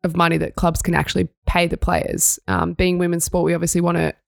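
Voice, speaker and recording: A woman's voice: fairly low pitch, little pitch movement, brisk, vocal fry, no echo, studio quality. A young Australian woman co-hosting a sports news podcast, reading stories and chatting in an upbeat way. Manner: upbeat, reads news items then chats. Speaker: podcast co-host.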